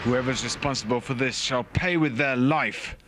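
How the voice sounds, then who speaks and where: A man's voice: medium pitch, normal speed, a bit bright, faint music behind, close to an everyday voice. A man playing a noble commanding prince. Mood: resolute. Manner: firm, declamatory. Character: noble young prince Ram, brave and commanding.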